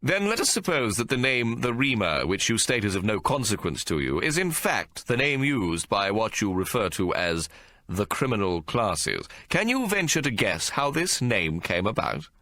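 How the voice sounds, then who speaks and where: A man voicing a stern, commanding judge who talks slowly and pompously. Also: lowish voice, sing-song, bright, forceful tone, no room sound, voice pushed up. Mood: probing. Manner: slow, pompous, measured.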